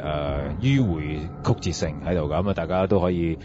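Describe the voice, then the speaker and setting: A man's voice: low voice, normal speed, background noise. A man with a Hong Kong Cantonese accent giving casual commentary as a show host. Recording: dull lo-fi audio. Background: prominent background music.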